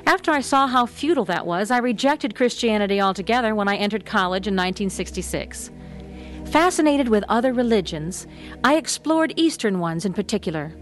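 A thoughtful grown woman recounts her search in a radio play, as an actress voices her. Her tone is reflective, at a middle pitch, with faint music underneath. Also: expressive, brisk, natural voice. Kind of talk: radio drama narration and acting.

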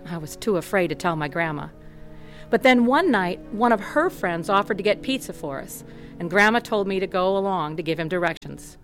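A woman's voice: lowish voice, expressive, moderate pace, faint music behind, lightly acted. An actress narrating as a tough, candid woman recounting a troubled childhood in a scripted radio drama. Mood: matter-of-fact.

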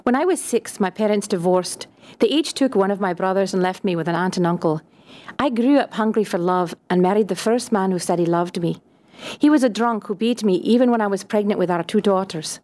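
A woman's voice: mid-range voice, brisk, a little mellow. A woman from Scotland narrating a scripted personal testimony.

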